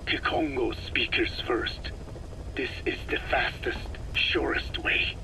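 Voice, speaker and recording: Code Talker: a whispering ancient sage. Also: male voice, medium pitch. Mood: grave. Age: older. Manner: slow, hushed, whispery, formal. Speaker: scientist giving instructions. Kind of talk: video game cassette tape dialogue. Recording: cassette tape with audible hiss.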